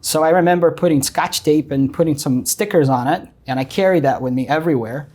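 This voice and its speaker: Brief: middle-aged Brazilian man, Portuguese-accented English, earnest guest, fairly high voice, lots of ums. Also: a little fast, darkish tone. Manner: frequent ums and uhs, earnest. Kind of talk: casual interview storytelling.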